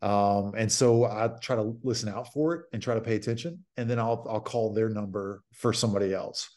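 A man in his thirties talks through a basketball drill over a video call from a home office. His voice is fairly low and steady. He explains plainly, with frequent fillers. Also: fairly quick. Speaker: basketball coach guest. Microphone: video call webcam.

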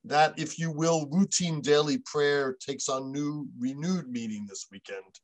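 A man's voice: on the high side, measured pace, low-quality recording. An American man in his fifties, a rabbi giving prepared remarks over a video call. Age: 50s. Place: room at home, indoors. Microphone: computer mic on a video call.

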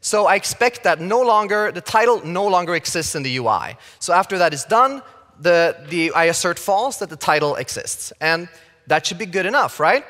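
Lively Swedish man speaking on a stage. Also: high voice, moderate pace. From Sweden. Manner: lively and playful, acts out quoted opinions. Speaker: conference speaker. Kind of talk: tech conference talk. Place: large conference stage. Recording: reverberant hall.

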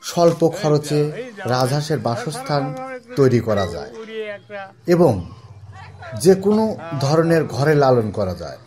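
A young man narrating and interviewing in a measured, informative way, speaking into a handheld mic outdoors at a goose farm. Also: on the high side, very animated, crowd noise. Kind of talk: read narration and field interview. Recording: outdoor voice with music bed.

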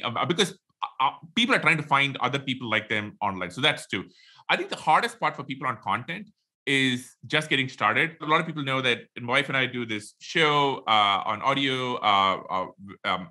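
A middle-aged man with an Indian accent, a podcast guest talking quickly and animatedly on a video call from a home room. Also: mid-range voice. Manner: quick, animated, many fillers. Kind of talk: casual interview talk. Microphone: headphones, video call.

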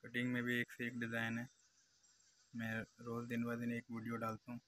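Monotone Pakistani craftsman, bright forceful voice. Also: mid-range voice, brisk. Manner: repetitive, step-by-step narration. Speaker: glass engraver, channel host. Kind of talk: live craft demonstration commentary. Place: glass cutting workshop. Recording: noisy workshop background hum.

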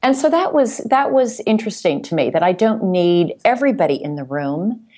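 Low-pitched woman chatting. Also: big pitch swings, a little fast, dark tone. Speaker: podcast guest, sales executive. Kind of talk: casual conversation.

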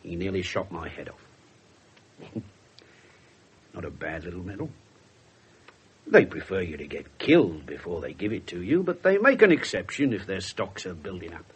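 Gruff-mannered english police inspector in a 70s radio play, sarcastic, very up and down, bit of hiss. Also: male voice, low voice, sing-song, moderate pace, long pauses. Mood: wry, wisecracking. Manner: sardonic, wisecracking, brusque. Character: irreverent, scruffy police inspector, sardonic and blunt. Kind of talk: 1970s radio drama acting. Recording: noisy 1970s radio broadcast recording.

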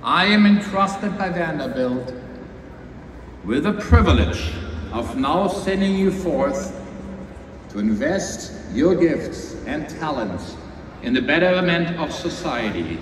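A man presiding as university chancellor, giving a slow, measured, ceremonial commencement address. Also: mid-range voice. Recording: echoey hall from far off. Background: ambient crowd noise.

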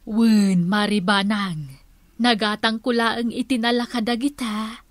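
A woman's voice: a little high, steady tone, measured pace, dry recording, lightly acted. A woman playing a chatty village neighbour in an Ilocano comedy radio drama.

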